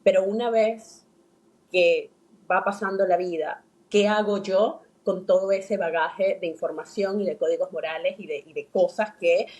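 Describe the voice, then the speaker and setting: A woman's voice: medium pitch, fairly even intonation, normal speed, darkish tone, poor audio. A woman co-hosting a philosophy podcast, engaged and explaining ideas, sometimes stumbling over repeated words. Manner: introduces guest, engaged, stammers when building a point. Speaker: podcast co-host. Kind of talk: podcast conversation.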